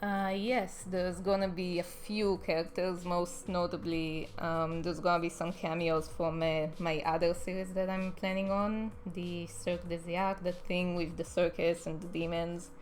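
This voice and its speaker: Young Israeli-accented woman, lowish voice, rambling live-stream chat, faint music behind. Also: little pitch movement, measured pace, few pauses. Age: young adult. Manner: chatty, rambling, frequent ums and uhs. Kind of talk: casual live stream chat answering viewers.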